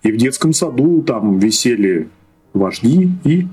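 Husky guy in his 30s from russia, halting chatty guest, keeps restarting, laughs a lot. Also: medium pitch, big pitch swings, darkish tone. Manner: hesitant, with restarts and frequent laughs. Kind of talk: casual podcast chat.

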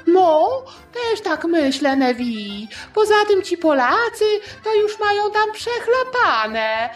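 A woman voicing a timid, sly, flattering male politician with a wheedling, hesitant manner in a satirical audio play. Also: very high voice, slow, soft background music, pitched above the performer's own voice, full character voice. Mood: sly, agreeable.

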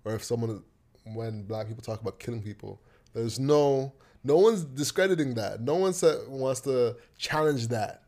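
A man co-hosting a podcast, speaking calmly and making his points step by step. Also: medium pitch. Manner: calm, argues in measured steps, many short agreements. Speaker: podcast co-host. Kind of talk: casual podcast chat.

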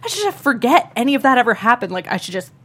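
A woman's voice: fairly high pitch, big pitch swings, fast talker, breathy edge. A woman playing a sarcastic, resentful, wounded young woman in a script table read, biting and emotional. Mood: angry, hurt.

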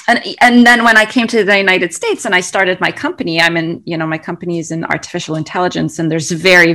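Earnest woman from Egypt, low voice. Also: vocal fry, fairly bright tone. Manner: earnest, frequent fillers and restarts. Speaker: interview guest, tech founder. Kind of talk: conversational interview answers.

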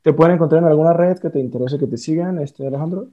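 A man's voice: on the high side, quick pace, lo-fi sound. A man hosting a casual podcast interview, friendly and a bit hesitant, full of fillers. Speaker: podcast host. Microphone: remote online call.

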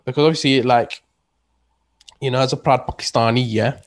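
A man's voice: medium pitch, fairly even intonation, moderate pace. A man talking casually as a guest, rambling with many restarts and fillers. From England. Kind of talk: casual conversation.

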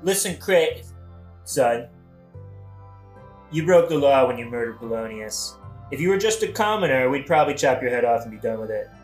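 A man's voice: medium pitch, lively intonation, moderate pace, long pauses, close to an everyday voice. A man playing an exasperated, scheming king in a satirical modern-language audio play, with a dry, blunt manner. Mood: exasperated, stern. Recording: roomy echo, faint music behind.

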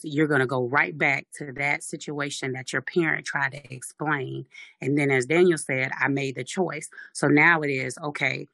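Deep raspy speaker, firm and assertive. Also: bright, forceful tone, no room sound. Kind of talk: casual podcast discussion.

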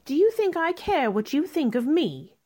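A woman playing a spirited, outspoken young gentlewoman in a radio drama, with a pointed, forthright manner. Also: high-pitched, sing-song, moderate pace. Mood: indignant.

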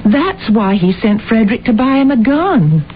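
An actress playing a composed, knowing American woman in a radio drama, with deliberate, theatrical phrasing. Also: a little high, sing-song. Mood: reflective. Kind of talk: 1970s radio drama acting. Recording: muffled, faintly noisy old radio broadcast.

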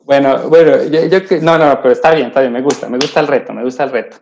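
Chatty Colombian man with gravelly voice. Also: medium pitch, fairly even intonation, fast, darkish tone, a little hiss or hum. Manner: self-deprecating, chatty, many fillers. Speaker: guest. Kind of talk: casual interview answer.

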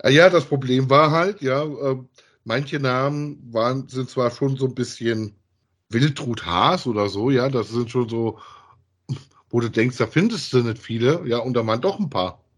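A man's voice: mid-range voice, moderate pace. Casual man chatting as a podcast co-host. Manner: colloquial, often tags sentences with "ja". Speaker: co-host of a podcast about radio plays. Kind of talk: casual conversational chat.

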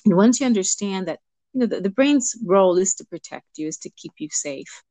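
A middle-aged woman from Cuba, a guest coach, speaking thoughtfully with ums and pauses. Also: mid-range voice, expressive, no echo. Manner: warm, reflective, pauses with ums. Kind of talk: interview conversation.

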